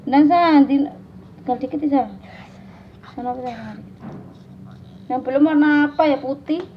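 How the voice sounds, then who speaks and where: A mother keeps prompting her child with questions, her voice a touch high. She is caught on a phone camera over a tiled floor at home, and the muffled recording carries clear background noise. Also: fairly even intonation, normal speed. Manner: coaxing, asks the child question after question. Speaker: mother guiding a child's craft. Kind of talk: casual home chat.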